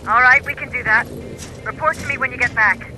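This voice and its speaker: An actress playing a brisk resistance commander in a video game, clipped and authoritative. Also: female voice, high-pitched, music in the background, close to an everyday voice, poor audio. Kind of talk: video game cutscene acting.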